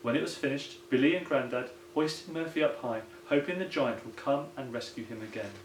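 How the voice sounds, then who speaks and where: A man's voice: medium pitch, fairly quick. A man, a primary school teacher, reading a children's story aloud. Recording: roomy echo, some background noise.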